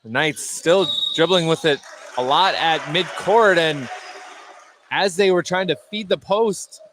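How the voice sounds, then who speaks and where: A man's voice: fairly high pitch, a little slow. A man doing live radio play-by-play of a basketball game, describing the action in short, clipped phrases. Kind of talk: live radio sports commentary. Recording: noisy gym, clear background noise. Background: gymnasium ambience, bouncing basketballs.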